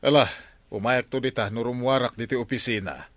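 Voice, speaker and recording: A man's voice: medium pitch, a little fast, fairly bright tone, no echo, lightly acted. A man playing a concerned working man asking questions on a call in an Ilocano radio drama.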